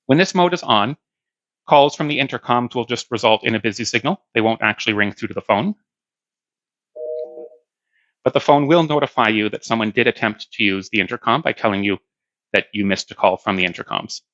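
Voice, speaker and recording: A man with a fairly low voice and a crisp, forceful edge gives an instructional product demo. He explains each step plainly and practically. The sound is very dry and a little band-limited. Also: normal speed, long pauses, no room sound, muffled recording. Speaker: product demonstrator. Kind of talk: hands-on instructional demonstration.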